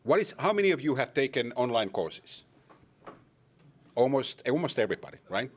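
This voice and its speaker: Finnish male academic, animated intonation, wry and interactive. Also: medium pitch, normal speed. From Finland. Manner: wry, engages audience with questions. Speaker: conference presenter. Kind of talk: conference panel talk.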